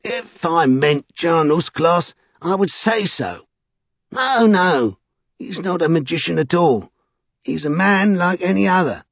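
A man's voice: fairly high pitch, animated, slow, vocal fry, husky, no echo, voice pushed up, full character voice. A man voicing a mocking, exasperated, cryptic street prophet in an audiobook. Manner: scoffing, goading.

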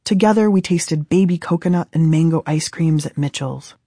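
A woman's voice: sits low, moderate pace, warm tone, husky, dry recording. An American woman in her thirties from Southern California, reading her own book aloud as scripted audiobook narration in a studio. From Southern California, USA. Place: dry recording studio.

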